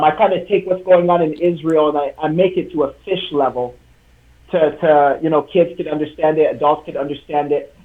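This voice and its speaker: A young man from Congo, a native French speaker, talking as a guest author on a video call, earnest and halting. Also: a little high. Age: young adult. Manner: earnest, halting, many fillers and restarts. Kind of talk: live online interview. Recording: tinny video call line.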